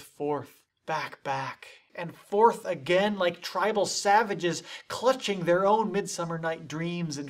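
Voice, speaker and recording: A young man gives a mock-serious dramatic poetry reading, deliberate and theatrical, with weighty pauses and swells. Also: fairly high pitch. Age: young adult. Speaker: performer reciting bad poetry. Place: indoors, dark studio stage set.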